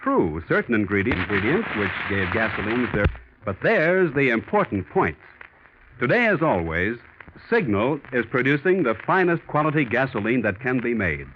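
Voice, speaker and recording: American guy, 40s radio announcer, really lively swooping delivery, dull old broadcast sound. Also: mid-range voice, moderate pace, a little hiss or hum. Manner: polished, emphatic salesmanship. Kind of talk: 1940s scripted radio announcing and sponsor reads. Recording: muffled 1940s radio broadcast recording.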